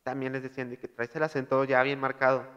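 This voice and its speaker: A man's voice: mid-range voice, flat delivery, quick pace, fairly bright tone, clear, resonant tone, highs cut off. A man with a Monterrey Mexican Spanish accent, chatting casually on a podcast and jumping in with questions. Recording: long room reverb.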